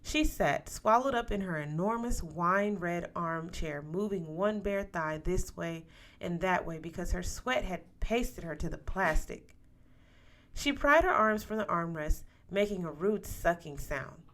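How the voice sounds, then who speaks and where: A woman's voice: fairly low pitch, measured pace, a bit bright. A woman reading a first-person story aloud as scripted audiobook narration. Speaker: audiobook reader.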